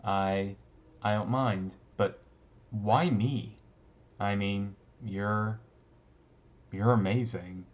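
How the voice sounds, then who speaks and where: Casting: man as emo goth vampire classmate, shy and sweetly smitten, lowish voice, slightly muffled lo-fi audio, romance roleplay. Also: steady tone, extremely slow, pauses often. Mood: surprised, hesitant. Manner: hesitant, bashful, casual, teasing. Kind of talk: romantic roleplay audio drama, talking to listener.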